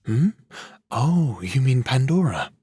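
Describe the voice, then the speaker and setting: A man playing a weary, gentle, brooding god of the underworld in a fantasy audio drama, soft-spoken and measured. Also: mid-range voice, very animated, slightly rough, no echo, studio quality, full character voice. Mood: guarded.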